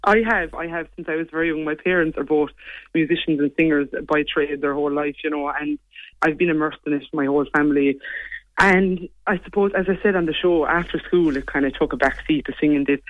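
Irish woman, 20s, low voice, chatty, dry close sound, lo-fi radio. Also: steady tone, no echo. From Ireland. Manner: quick, chatty, lots of "like" and "you know". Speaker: singer guest. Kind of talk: casual interview chat.

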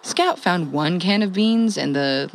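An actress playing a wry, sweary former radio host who survives the apocalypse, telling her day in a halting, conversational audio-drama monologue. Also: on the low side, expressive, slight background noise, low-quality recording. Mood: wry, amused. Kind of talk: conversational comedic monologue, as a ham radio log.

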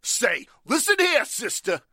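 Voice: medium pitch, sing-song, unhurried, sharp tone, dry recording, full character voice. Someone acting shady tough crook from an old 40s radio play, slangy n threatening, voice jumps all over, dry sound. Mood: menacing. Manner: slangy, ungrammatical tough talk. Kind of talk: 1940s radio drama acting.

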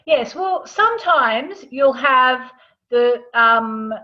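A woman's voice: high voice, lively intonation, extremely slow, dull audio. A woman speaking as an interview guest, explaining things in a casual, informal, conversational way. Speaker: astrology author, interview guest.

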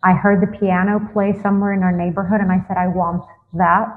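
A young woman from Greece, a guest in a casual interview, thoughtful, often pausing with fillers before she answers. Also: lowish voice, flat delivery. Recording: tinny phone-like line.

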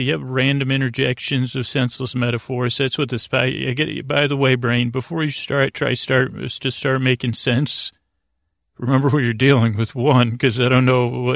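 A man hosting a sleep podcast in a low-key, meandering monologue, halting often and drifting off into digressions. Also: vocal fry, dry recording, clean studio sound. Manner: meandering, halting, frequently digressing and losing his thread; low-key, soothing, deliberately unengaging. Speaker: podcast host.

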